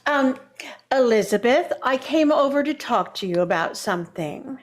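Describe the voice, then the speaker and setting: A woman's voice: high voice, animated, normal speed. An actress playing a condescending older mother-in-law, sugary and backhanded, in vintage radio sitcom style. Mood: sweet, plaintive.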